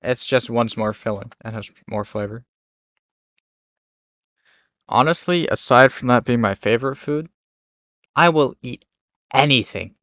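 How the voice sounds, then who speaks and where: Young man, relaxed casual talker, close dry sound. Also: mid-range voice, unhurried, pauses often, no echo. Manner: easygoing, laughs easily. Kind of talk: casual chat.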